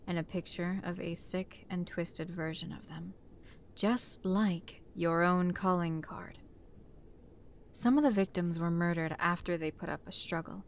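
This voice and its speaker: A woman playing a commanding, sardonic chief detective in a scripted audio roleplay, brusque and relentless. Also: lowish voice. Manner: brusque, commanding, swearing interrogator. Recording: clear background noise.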